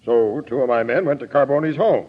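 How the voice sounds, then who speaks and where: An actor playing a plain-spoken, matter-of-fact police captain in a 1940s radio drama. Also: male voice, medium pitch, fairly quick, close to an everyday voice. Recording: muffled old radio recording with long reverb.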